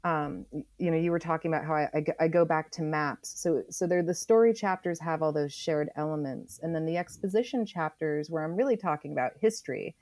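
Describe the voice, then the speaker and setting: An American woman from Oregon explaining her work as an interview guest in a reflective, conversational way, emphatic and often pausing to think aloud. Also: sits low, darkish tone, dry recording. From Oregon, United States. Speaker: interview guest, author and geologist.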